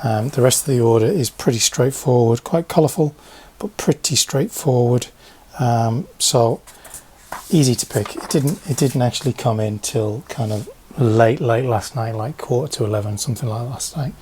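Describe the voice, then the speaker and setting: A man's voice: medium pitch, moderate pace, darkish tone, a little hiss or hum. A man chatting casually while packing orders, rambling and thinking aloud with lots of fillers. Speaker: online parts seller. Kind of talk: casual behind-the-scenes vlog chat.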